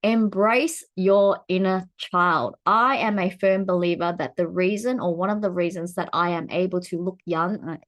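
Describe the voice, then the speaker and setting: A woman in her forties vlogging to camera in a casual, chatty way, laughing often, with her pitch swinging up when she gets excited. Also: normal speed, hardly any pauses. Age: 40s.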